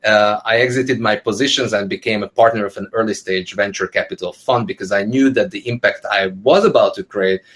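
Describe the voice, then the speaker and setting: A man's voice: lowish voice, a little fast, hardly any pauses, a bit bright. A man in his thirties from Hungary, talking about his work to a webinar panel over a video call, conversational and full of fillers. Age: 30s. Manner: many fillers and restarts, conversational. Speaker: panel speaker, entrepreneur. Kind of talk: casual spoken talk. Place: indoor room, video call.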